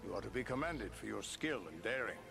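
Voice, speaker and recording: A man playing a dependable ranger captain in a fantasy game, speaking in a grave, measured, formal manner. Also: fairly low pitch, expressive, gravelly. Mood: grave counsel. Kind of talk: fantasy video game cutscene acting. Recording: music underneath.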